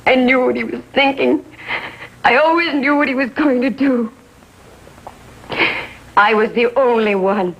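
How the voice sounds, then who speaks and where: A high-voiced, husky, grieving mother. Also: unhurried, long pauses. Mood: bitter grieving. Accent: American. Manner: accusing, anguished, dramatic emphasis. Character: bitter, grief-stricken mother blaming police for son's death. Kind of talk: 1950s American TV drama acting. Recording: dull vintage TV soundtrack.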